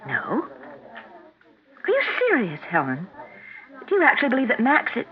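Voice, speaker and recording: An actress playing a concerned, earnest wife in a 1970s radio drama. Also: female voice, fairly high pitch, wildly swooping pitch, moderate pace. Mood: earnest, taken aback. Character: Gwen, concerned, earnest married woman confiding in friends. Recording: narrowband vintage radio recording.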